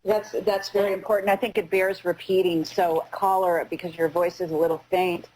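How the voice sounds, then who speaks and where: A woman with a low voice hosts a live radio interview. She phrases things haltingly, repeating words as she goes, over a faintly noisy internet call. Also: flat delivery, darkish tone. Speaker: radio show host.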